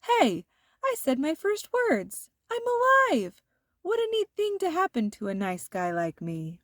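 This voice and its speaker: A woman's voice: high-pitched, wildly swooping pitch, unhurried, dry recording, clean studio sound, pitched above the performer's own voice, full character voice. A woman voicing a cheerful, innocent magical snowman in a children's storybook, exclaiming with wide-eyed wonder. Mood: delighted.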